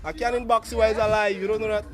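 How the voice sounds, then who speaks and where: Hoarse Grenadian man live-streaming, high voice. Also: level tone, very fast, soft background music. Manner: joking, teasing viewers for money, bursts into sung lines. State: hoarse from a cold. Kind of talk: casual live-stream chat.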